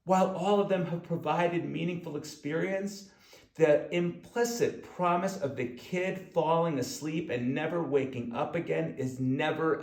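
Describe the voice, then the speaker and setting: A man's voice: on the high side, darkish tone. A man reading his own self-help audiobook, speaking emphatically and directly to the listener. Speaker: author narrating own audiobook. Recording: echoey room sound.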